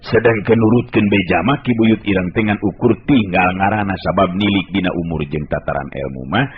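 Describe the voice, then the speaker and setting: A man's voice: deep voice, fairly quick, a little hiss or hum. Male radio storyteller, muffled old sound. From West Java. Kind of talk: 1980s radio storytelling narration.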